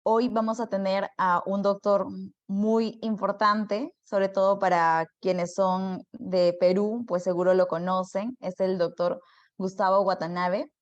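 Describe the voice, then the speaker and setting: A woman hosting an event, politely introducing a guest speaker, slightly halting. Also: mid-range voice, little pitch movement. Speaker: event host. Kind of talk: formal introduction. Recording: dull, lo-fi audio.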